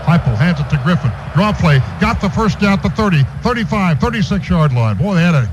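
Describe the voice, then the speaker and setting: Higher-voiced American football play-by-play man, noisy radio. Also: very animated, fairly quick. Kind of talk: live American football commentary. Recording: dull radio broadcast, clearly noisy.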